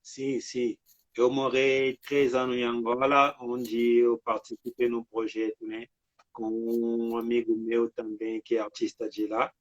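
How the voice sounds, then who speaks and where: A man from the Democratic Republic of the Congo answering interview questions over a video call, halting, with many filler words. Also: mid-range voice, completely flat delivery, no room sound. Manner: halting, frequent "né" and "sabe" fillers. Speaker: artist and guest. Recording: dry lo-fi video call audio.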